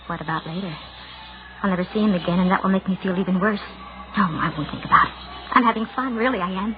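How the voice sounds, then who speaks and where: A woman's voice: mid-range voice, fast talker, lightly acted. An actress in a 1940s radio drama playing a lonely, self-doubting woman's agitated inner voice. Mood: wistful, conflicted. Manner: agitated self-questioning, arguing with herself. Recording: tinny band-limited vintage radio recording, loud music.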